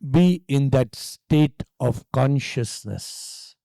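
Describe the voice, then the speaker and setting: Very mellow, slow Indian man guiding meditation. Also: mid-range voice, vocal fry, dry recording. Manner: calm, hushed, deliberate phrasing with long pauses. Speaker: meditation teacher leading a retreat session. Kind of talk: guided meditation.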